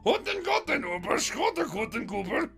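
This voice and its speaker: Man doing high mock-German pompous secretary. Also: sing-song, moderate pace, creaky, fairly bright tone, faint music behind, heavily acted. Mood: babbling. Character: Antoine, useless pompous secretary speaking garbled foreign phrases.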